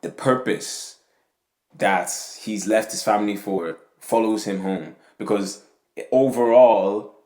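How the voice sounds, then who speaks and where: Deep-voiced young man rambling in an echoey room. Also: fairly even intonation, slow, warm tone. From Nigeria. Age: young adult. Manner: rambling, tags lines with "yeah?" and "you know what I'm saying". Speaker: podcast host. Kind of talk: casual monologue on faith and community.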